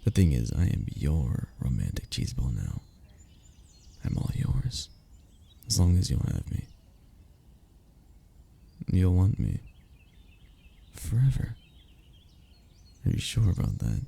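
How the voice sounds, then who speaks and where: A man voicing a young, self-deprecating romantic lover in an intimate audio roleplay. The voice is very low, mellow and husky, teasing softly and tenderly, sometimes dropping toward a whisper. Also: huge pitch swings, takes it slowly, pauses often, exaggerated voice. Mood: loving. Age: young adult.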